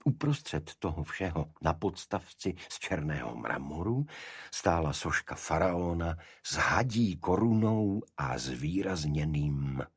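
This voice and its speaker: A man's voice: deep voice, darkish tone, husky, no room sound, studio quality. A man narrating a detective story as a scripted audiobook.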